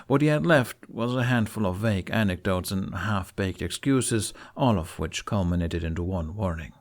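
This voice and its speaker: Guy with a low voice reading a fantasy story out loud, audiobook narration. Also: moderate pace. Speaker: narrator reading fan fiction aloud.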